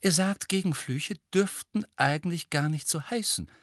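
Man as an earnest, high-pitched bookish schoolgirl. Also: normal speed, no room sound, studio quality, lightly acted. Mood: prompt, certain. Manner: prompt, clear, assertive.

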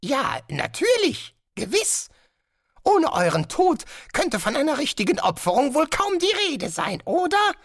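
A man voicing a calm, polite guest master who calmly announces dreadful things, in an audiobook. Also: extremely high pitch, no room sound, clean studio sound, voice pushed up, full character voice. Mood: smoothly menacing. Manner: courteous, unhurried, casually sinister. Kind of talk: audiobook character voice.

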